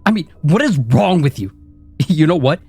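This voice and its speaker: Sneering male inner-critic voice, mocking and vicious, played by a male narrator, over quiet background music. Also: on the low side, animated, a little fast, slightly rough, no echo. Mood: raging. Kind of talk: dramatized horror story narration.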